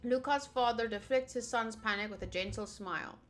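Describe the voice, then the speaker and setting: A woman's voice: on the high side, moderate pace. Playful young woman, lightish voice. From United States. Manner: playful, casual. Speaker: game streamer. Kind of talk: visual novel playthrough, reading aloud and commenting. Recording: voice over game music. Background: game music.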